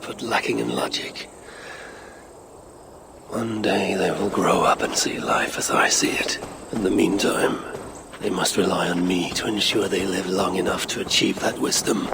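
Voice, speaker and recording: An arrogant, wry older Norse god for a video game. His low voice is gravelly and hushed, measured and haughty, over loud outdoor ambience. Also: very noisy. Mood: wry contempt. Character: grim, sardonic, arrogant older Norse god, tracking enemies. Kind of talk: dramatic video game voice acting.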